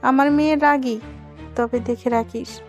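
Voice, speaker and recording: A woman's voice: high-pitched, steady tone, measured pace, a little mellow, dull audio, soft background music, pitched above the performer's own voice. A woman voicing a concerned, confiding middle-aged mother in an audio story. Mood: earnest, pleading.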